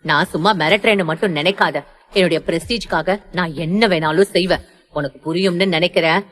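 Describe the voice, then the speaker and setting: A woman's voice: fairly low pitch, fairly quick, a bit bright. A woman playing a stern, domineering middle-aged mother in an audio story, scolding and threatening with emphatic delivery. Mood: menacing. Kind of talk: Tamil audio story acting.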